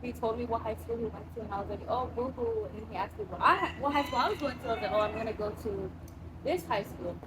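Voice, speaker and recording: A young woman from Samoa tells her story in an animated, casual way, swears freely and acts out the voices of others. Her voice sits a little high. Steady noise hangs behind her in the room. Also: fast. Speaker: guest.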